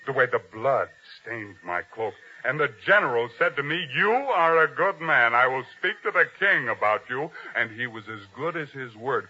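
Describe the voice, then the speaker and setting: Sharp, forceful proud army captain. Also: male voice, fairly high pitch, exaggerated voice. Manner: boastful, self-important, musing. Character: proud, ambitious army captain in service of a king. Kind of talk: 1950s radio drama acting. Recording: dull old radio broadcast recording.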